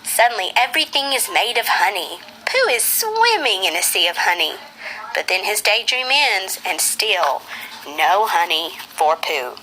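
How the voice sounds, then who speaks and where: A woman narrating a children's storybook for a puppet show in an expressive, sing-song read-aloud manner. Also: mid-range voice, unhurried, a little hiss or hum, poor audio.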